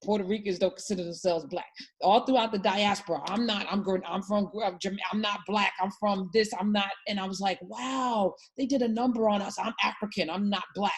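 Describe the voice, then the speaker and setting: A woman's voice: mid-range voice, fairly even intonation, fairly quick. A woman hosting a talk show, speaking with emphatic, passionate conviction in casual political discussion.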